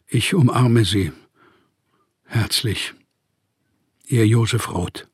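A man's voice: lowish voice, very slow, slightly rough, no room sound, clean studio sound, exaggerated voice. An actor playing a despairing, exhausted writer in exile, reading his letters in a radio play with weary, heavy diction.

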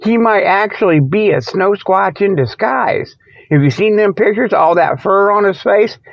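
High-pitched man rambling in folksy radio style. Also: animated, moderate pace, gravelly, no room sound, highs cut off. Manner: folksy, colloquial, repetitive, amused by own jokes. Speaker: host of a comic Bigfoot report show. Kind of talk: rambling improvised comic monologue.

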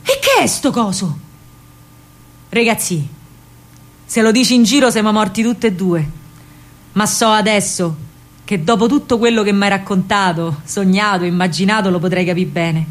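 A woman performs an older Roman man in a radio drama. He is an earnest, conspiratorial anti-fascist mentor. He speaks deliberately and gravely, exhorting with a thick Roman accent. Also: medium pitch, natural voice. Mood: grave, confiding. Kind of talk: theatrical monologue, radio drama.

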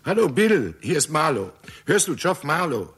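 An actor playing a keen, courteous astronomer in a 1960s German radio drama, explaining things emphatically and politely. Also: male voice, on the high side, sing-song, normal speed, a bit bright. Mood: insistent, eager. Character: keen, courteous male astronomer presenting an alarming discovery. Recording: 1960s radio recording with faint noise.

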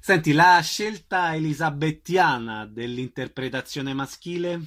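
Higher-pitched male radio host. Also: a little slow, fairly bright tone. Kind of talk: radio presenting and interviewing.

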